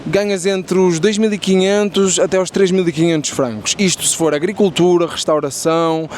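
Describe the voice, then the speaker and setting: A man's voice: high voice, fairly even intonation, moderate pace, darkish tone, a little hiss or hum. A young Portuguese man with a European Portuguese accent, casually interviewing strangers on a busy city street with lots of fillers. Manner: chatty, friendly, many fillers and restarts. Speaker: street interviewer and content creator.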